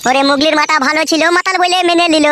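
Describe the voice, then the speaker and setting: A man's voice: very high voice, steady tone, very fast, noisy background, voice pushed up. A man voicing a merry, foul-mouthed village drunkard in a comedy cartoon, boozy and sing-song.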